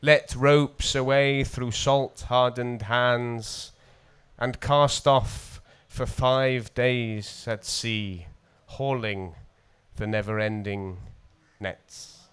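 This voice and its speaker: Male poet, North Welsh accent, smooth clear tone, unhurried and deliberate, for live readings with spoken introductions. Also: mid-range voice, steady tone, fairly bright tone. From coastal North Wales. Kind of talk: poetry reading with spoken introductions at a literary festival.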